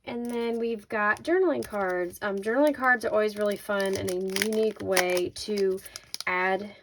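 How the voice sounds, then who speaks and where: A woman with a slightly raspy voice hosting a casual craft unboxing, chatting informally and thinking aloud as she shows each item. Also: medium pitch, normal speed. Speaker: craft video host. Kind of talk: casual unboxing product walkthrough. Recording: noisy, with crinkling handling sounds.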